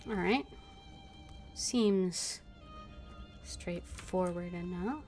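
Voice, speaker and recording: A woman's voice: lowish voice, very slow, a little mellow, faint music behind. A woman giving casual commentary while playing a game. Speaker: game streamer.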